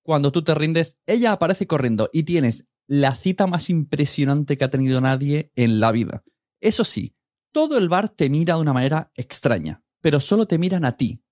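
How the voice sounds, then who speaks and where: A man's voice: a little high, lively intonation, normal speed, a little mellow, no room sound, clean studio sound. A man with an accent from Spain talks casually and directly to the listener as a podcast host. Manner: chatty, direct address to listener.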